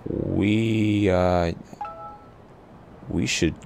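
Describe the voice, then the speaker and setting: A man playing a tabletop roleplay game, improvising casually with hesitant pauses mid-sentence. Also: low voice, steady tone, creaky, darkish tone. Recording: noisy live stream.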